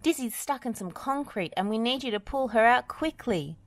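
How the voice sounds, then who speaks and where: Storybook lead: capable woman builder, animated, earnest and decisive, voiced by a female narrator. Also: fairly high pitch, moderate pace, no room sound, pitched above the performer's own voice. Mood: urgent.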